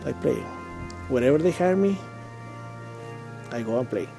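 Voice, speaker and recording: A man's voice: mid-range voice, animated, measured pace, creaky, music in the background. A middle-aged man from Chile with a Chilean Spanish accent, a musician talking reflectively and plainly in a documentary interview. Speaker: musician and church music director.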